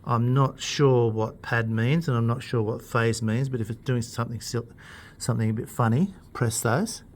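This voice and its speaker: A man talking through equipment in an informal tutorial, explaining things in a plain-spoken, unhurried way. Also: mid-range voice, steady tone, slight background noise. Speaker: instructor demonstrating PA equipment.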